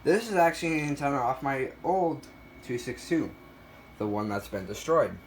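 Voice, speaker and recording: A man's voice: medium pitch, lively intonation, normal speed. Casual guy vlogging, noisy recording. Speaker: collector showing a weather radio collection. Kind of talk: casual unscripted show-and-tell.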